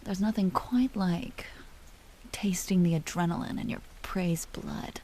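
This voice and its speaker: A woman playing an elegant vampire duchess in an audio roleplay, speaking softly with formal, languid, teasing courtesy and an amused, predatory air. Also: lowish voice, measured pace, whispered. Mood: wistful, sheepish. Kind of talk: dramatic roleplay audio performance.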